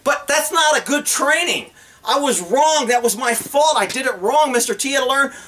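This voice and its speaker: A man's voice: very high voice, moderate pace. A middle-aged man giving blunt, folksy, off-the-cuff instruction to camera as a horse trainer. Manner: animated, blunt, folksy, acts out imagined dialogue. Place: outdoors on open rural land.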